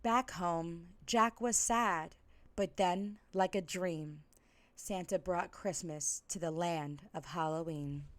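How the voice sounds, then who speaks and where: A woman reading stories aloud and chatting in an expressive, theatrical way as a live storyteller. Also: on the low side, takes it slowly.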